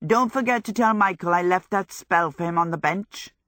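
A woman's voice: fairly low pitch, normal speed, a bit bright, no echo, clean studio sound, lightly acted. A woman voicing a vain, dashing young male wizard with an airy, self-satisfied manner, in a storybook reading. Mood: breezy.

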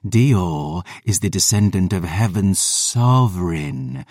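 A man reading a cold, pious vampire woman, measured and grave. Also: lowish voice, a little mellow, no echo, clean studio sound. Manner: slow, measured, grave delivery. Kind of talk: audiobook character voice.

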